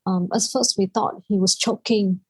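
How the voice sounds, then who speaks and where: A woman's voice: medium pitch, steady tone, moderate pace, breathy edge, no echo. A woman from Vietnam, a native Vietnamese speaker with a Vietnamese accent, telling her personal story as a podcast guest.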